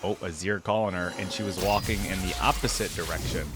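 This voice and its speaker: A man's voice: low voice, steady tone, brisk. A man hosting a reaction video, giving casual, upbeat commentary in an informal, appreciative way. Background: music behind the voice.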